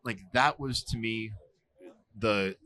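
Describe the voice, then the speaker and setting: A man in his forties, a survival instructor, telling stories in a relaxed interview, with lots of fillers and restarts. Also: lowish voice, slow pace, dry recording. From United States. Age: 40s. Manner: rambling, many fillers and restarts. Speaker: podcast guest, survival instructor. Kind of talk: casual storytelling interview.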